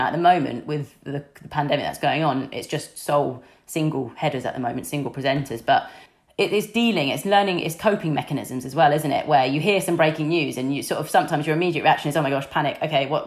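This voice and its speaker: Young woman, deep husky low voice, chatty and candid interview guest, lots of fillers. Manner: chatty and candid, many fillers like "you know". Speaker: sports news presenter, podcast guest. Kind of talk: casual interview chat.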